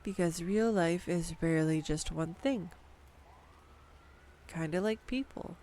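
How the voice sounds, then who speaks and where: A woman voicing a nerdy, affectionate girlfriend who rambles excitedly about animal facts in an intimate audio roleplay. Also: fairly low pitch, slow pace. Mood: tender. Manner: enthusiastic, rambling, tangent-prone, giggly. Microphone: studio USB microphone.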